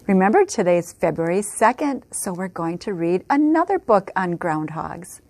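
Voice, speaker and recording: A woman librarian leading a virtual story time for young children, reading aloud and guiding them through motions gently. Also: on the low side, a little hiss or hum. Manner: gentle, slow, instructive with children.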